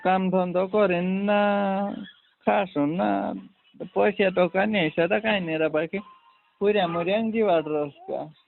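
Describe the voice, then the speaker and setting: A man with a creaky voice talking casually on a phone call in a rambling, repetitive way. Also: high-pitched, fairly even intonation, moderate pace, long pauses, faint music behind. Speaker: prank call participant. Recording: narrow phone line with faint noise.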